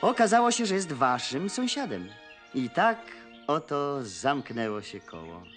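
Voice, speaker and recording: An actor playing a courteous, eager young Polish gentleman in a period television drama, speaking politely and with animation. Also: a little high, big pitch swings, a little slow, faint music behind. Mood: effusive. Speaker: landowner's son.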